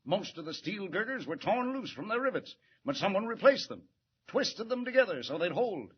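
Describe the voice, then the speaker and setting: An actor playing an anxious, gruff middle-aged male police commissioner in 1940s radio acting. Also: sits high, very animated, moderate pace, full character voice. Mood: worried. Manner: gruff, worried, urgent. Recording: muffled vintage radio broadcast.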